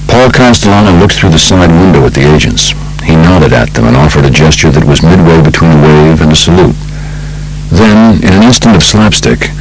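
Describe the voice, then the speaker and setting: A man with an American accent reading a true crime audiobook in a measured, polished storytelling manner. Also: rumbling low, low-quality recording. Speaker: audiobook narrator. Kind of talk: scripted narration of true crime book.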